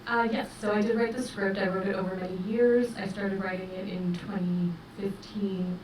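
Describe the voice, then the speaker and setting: A woman's voice: medium pitch, flat delivery, darkish tone. Woman director in a roomy, distant recording. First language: English. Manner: measured, thoughtful, many fillers. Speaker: film director. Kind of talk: festival interview. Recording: roomy, distant mic with noise.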